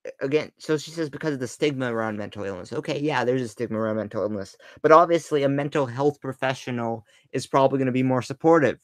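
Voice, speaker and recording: A man hosting his channel, pausing a clip to rebut it in skeptical casual commentary. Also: mid-range voice, no echo. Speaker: channel host reacting to a video.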